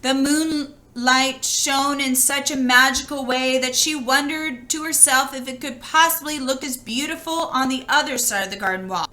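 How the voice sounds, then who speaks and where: A woman reads a storybook aloud in a high, bright voice. Her delivery is expressive and conversational, with the chatty feel of someone sharing the book with listeners. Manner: expressive, conversational, pausing to comment. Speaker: storybook reader.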